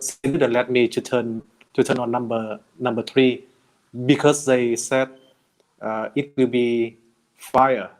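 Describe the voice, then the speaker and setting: A man speaking English as a learner, halting and hesitant, restarting words often in casual chat. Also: medium pitch, a little mellow, poor audio. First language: not English. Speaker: English learner in conversation practice.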